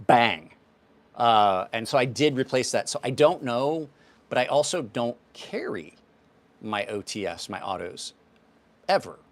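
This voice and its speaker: A man in his fifties hosting a knife livestream, answering viewers' questions in a rambling, offhand way. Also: medium pitch. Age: 50s. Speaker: knife channel host. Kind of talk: casual livestream chat.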